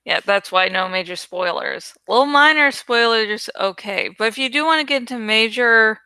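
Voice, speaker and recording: A woman's voice: on the high side, animated, normal speed, bright, forceful tone, smooth, dry recording. A woman hosting a reading channel, chatting casually to her viewers in a playful, joking way and swearing now and then. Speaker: host of a dramatic book-reading channel.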